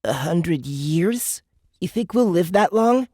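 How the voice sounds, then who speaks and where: A woman's voice: on the low side, lively intonation, slow, mellow, husky, no echo, clean studio sound, full character voice. A woman voicing a frightened, homesick small child in a science fiction audiobook. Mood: awed, timid.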